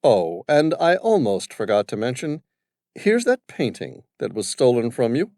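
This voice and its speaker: A man voicing an aloof older upper-class gentleman with an upper-class British accent, polite and superior. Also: medium pitch, sing-song, measured pace, no room sound, studio quality, pitched above the performer's own voice. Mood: courteous.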